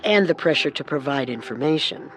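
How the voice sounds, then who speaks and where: A woman co-narrating a scripted true-crime story with measured, dramatic delivery. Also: low-pitched, animated, a bit bright.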